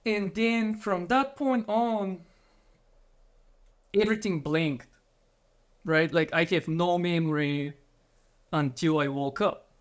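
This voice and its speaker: A man's voice: high voice, slow, pauses often. Man telling his story. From Bulgaria. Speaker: guest. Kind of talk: casual interview storytelling.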